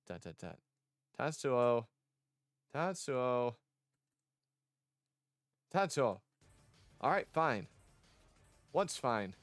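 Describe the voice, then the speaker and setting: A man's voice: mid-range voice, no echo. Man reading game dialogue aloud over music. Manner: acts out lines, stumbles over words. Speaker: streamer reading a visual novel aloud. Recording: music behind the voice.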